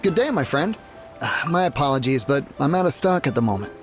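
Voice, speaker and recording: Flustered male shopkeeper acted by a man, mid pitch, lively intonation, game role over music. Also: fairly quick, close to an everyday voice. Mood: apologetic. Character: reluctant, easily flustered export merchant man. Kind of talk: video game character acting.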